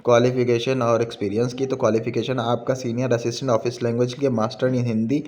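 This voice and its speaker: Mellow-voiced Indian man explaining a notice. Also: medium pitch, level tone, fast talker. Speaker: presenter of a job recruitment explainer.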